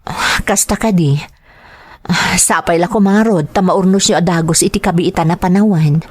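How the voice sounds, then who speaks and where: A woman acting a caring wife, lowish voice. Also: moderate pace, a little mellow, husky. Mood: caring, concerned. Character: caring, grateful wife and mother. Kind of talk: Ilocano radio-style drama acting.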